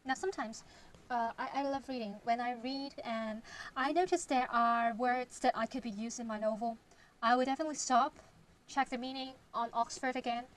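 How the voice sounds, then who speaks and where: A woman's voice: on the high side, a little hiss or hum, low-quality recording. A young woman with a Cantonese accent, a writer chatting on her vlog, thinking aloud and sometimes dropping to a whisper. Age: young adult. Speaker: vlogger and novelist. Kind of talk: casual vlog, reading aloud.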